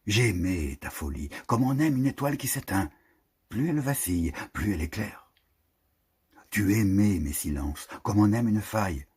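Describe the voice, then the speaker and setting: A man giving a literary reading of a prose poem in a solemn, declamatory manner with deliberate phrasing. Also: fairly low pitch, animated, a little mellow, husky.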